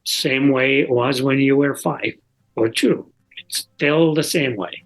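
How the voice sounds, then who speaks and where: An older man, a father talking casually and reflectively in an interview, halting, with non-native English phrasing. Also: medium pitch, low-quality recording.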